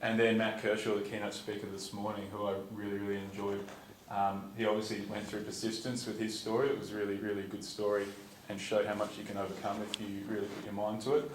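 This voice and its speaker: A man's voice: lowish voice, level tone, quick pace. A young man from Perth with an Australian accent, giving an informal, rambling talk to a conference audience in a lecture theatre, with many ums and restarts. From Perth, Western Australia. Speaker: student speaker at a conference. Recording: echoey hall, distant, noisy.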